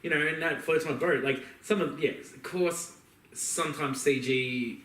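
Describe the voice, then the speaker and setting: A man's voice: on the high side, unhurried, fairly bright tone, recorded from a distance. A man co-hosting a podcast, chatting casually in a rambling, emphatic, sweary way. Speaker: podcast co-host. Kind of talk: casual opinionated chat about films. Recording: roomy echo, off-mic.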